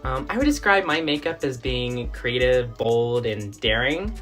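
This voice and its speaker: A man in his twenties from Denver, a contestant and makeup artist speaking in an earnest, measured confessional. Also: medium pitch, lively intonation, a bit bright, faint music behind. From Denver, Colorado. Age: 20s. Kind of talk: confessional interview.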